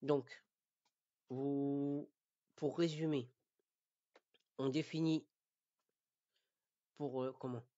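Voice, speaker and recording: A man from France giving an informal lesson as a Quran recitation teacher, halting and repeating his words, often checking that listeners follow. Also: medium pitch, steady tone, warm tone, no echo, dull audio. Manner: didactic, checks understanding. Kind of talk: informal spoken lesson addressed to learners.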